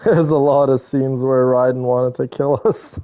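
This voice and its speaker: A man's voice: mid-range voice, steady tone, unhurried, mellow. A man giving informal, opinionated reaction commentary while playing a game, in a conversational style. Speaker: game streamer. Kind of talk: casual reaction commentary over gameplay. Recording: slightly dull audio, game music behind.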